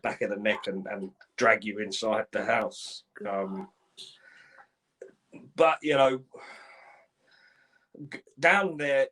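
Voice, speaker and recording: A man in his forties from Ireland, telling his life story in a live interview, halting, with many restarts and repeated words. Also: medium pitch, a bit bright. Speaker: guest.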